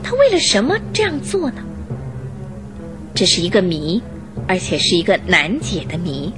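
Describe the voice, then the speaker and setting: A woman reading scripted narration for a Mandarin radio drama with expressive storyteller phrasing. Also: fairly low pitch, moderate pace, over music.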